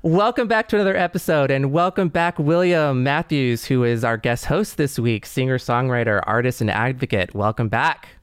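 A man co-hosts a podcast with an effusive, chatty delivery. His voice is smooth and clear at a middling pitch, captured dry and close. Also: moderate pace, few pauses, no room sound. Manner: enthusiastic, effusive. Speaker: podcast co-host. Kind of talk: casual podcast conversation.

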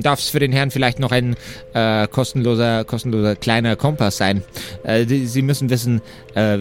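A man's voice: medium pitch, close to an everyday voice. A man playing a slight, fussy, eager map seller in an improvised fantasy audio play, stammering and repeating himself politely. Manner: stammering, repetitive, muttering, polite. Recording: music under the voice.

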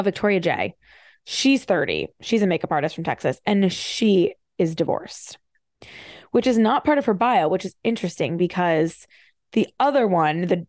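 Creaky-voiced woman podcaster, chatty. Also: on the low side, no room sound, clean studio sound. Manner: fast-talking, chatty, wry, animated asides. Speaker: podcast host. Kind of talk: casual solo commentary, reading bios with asides.

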